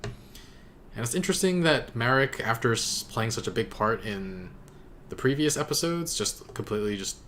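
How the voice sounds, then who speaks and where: A man hosting a reaction video, giving casual commentary in a halting way as he thinks aloud. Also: mid-range voice, animated, a bit bright, a little hiss or hum.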